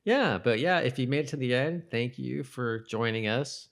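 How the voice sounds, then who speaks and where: A man's voice: medium pitch, moderate pace, vocal fry. A man co-hosting a podcast in casual chat about a TV show, talking loosely and often repeating his phrases. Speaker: podcast co-host.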